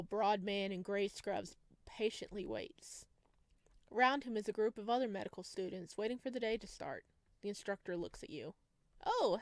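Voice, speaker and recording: A woman's voice: mid-range voice, no room sound. Dry-sounding woman narrating a visual novel. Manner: chatty asides, reacts to the story. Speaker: video game streamer. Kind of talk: let's play, reading visual novel text aloud with casual asides.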